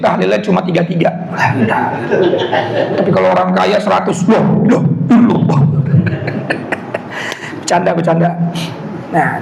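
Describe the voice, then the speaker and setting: A hoarse man, preaching a casual, joking Quran lecture and often asking his congregation to agree. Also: high voice. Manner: joking, asks the audience "betul nggak?". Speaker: preacher giving a Quran commentary class. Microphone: speaker system. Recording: echoey hall with faint noise.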